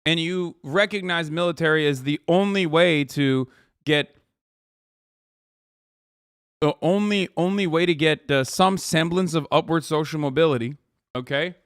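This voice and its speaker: A man's voice: a little high, measured pace, long pauses, a bit bright, smooth, no echo, studio quality. A man in his thirties from Turkey, ranting casually and profanely while reacting to clips as a streamer. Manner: profane, emphatic, rambling with restarts.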